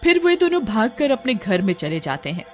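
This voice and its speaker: Animated woman narrating a horror story. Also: fairly high pitch, moderate pace. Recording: music behind the voice.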